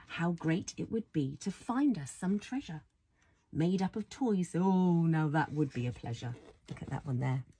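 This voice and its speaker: A woman reads a children's bedtime story aloud in a soft, husky voice, affectionate and playful, full of wonder. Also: low voice, lively intonation, measured pace. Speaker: storybook reader.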